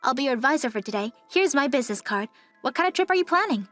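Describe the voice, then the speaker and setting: A woman's voice: sits high, lively intonation, quick pace, fairly bright tone, no room sound, faint music behind. A woman playing a polite, helpful young travel advisor in a manga dub, with a courteous customer-service manner. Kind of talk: English manga dub acting.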